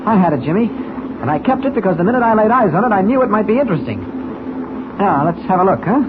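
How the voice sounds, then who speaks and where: An actor playing a shrewd, mild-mannered newspaper reporter in a 1940s radio serial, speaking with urgent, deliberate emphasis. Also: male voice, high-pitched, expressive. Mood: astonished. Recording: tinny, noisy old radio recording.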